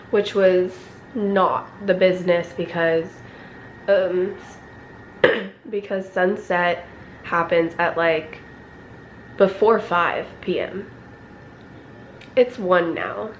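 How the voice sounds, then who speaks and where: A young woman vlogging at home with a raspy voice from a lingering cold, rambling casually and clearing her throat often. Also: lowish voice, very slow, pauses often, slight background noise, low-quality recording. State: recovering from a cold, coughing. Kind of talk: casual vlog chat. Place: at home, indoors.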